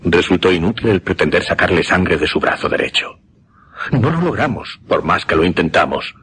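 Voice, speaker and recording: An actor playing an intense mesmerist who recounts a macabre experiment in a suspense radio drama, with a Castilian accent and deliberate, emphatic delivery. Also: male voice, deep voice, expressive, a bit bright, slight background noise. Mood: grave, insistent. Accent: Castilian Spanish.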